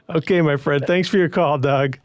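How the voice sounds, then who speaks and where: A man's voice: on the high side, dry recording, clean studio sound. A man hosting a call-in advice show on the radio, talking in a loose, conversational way and chuckling often. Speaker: radio host.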